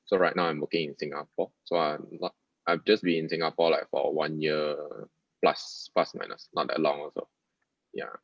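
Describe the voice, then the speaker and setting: A man's voice: a bass voice, fairly even intonation, moderate pace, vocal fry, no room sound. A man with a Malaysian accent casually introducing himself to colleagues in plain, simple talk. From Ipoh, Malaysia. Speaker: senior UI/UX designer. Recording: dull, muffled lo-fi audio.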